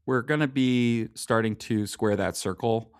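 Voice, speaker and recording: A man co-hosting a podcast, chatting casually and thinking aloud in a halting way. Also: medium pitch, smooth. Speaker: podcast co-host. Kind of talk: casual comic book discussion.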